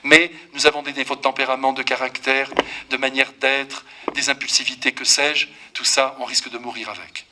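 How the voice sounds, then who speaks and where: Un prêtre d'une cinquantaine d'années prêche un sermon avec vivacité, taquine l'assemblée et s'adresse directement à elle. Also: male voice, mid-range voice, normal speed, noisy background. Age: 50s. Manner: lively, teasing, talks straight to the congregation. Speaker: priest.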